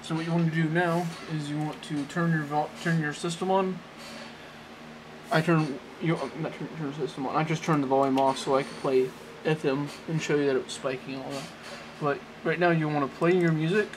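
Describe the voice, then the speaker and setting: A man giving a casual, unscripted how-to demonstration in a halting, rambling way, often correcting himself, talking from behind a handheld camera. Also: fairly high pitch, steady tone, noisy background. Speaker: amateur tech tutorial presenter. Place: small indoor room at a desk. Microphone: handheld camera, off-screen close behind it.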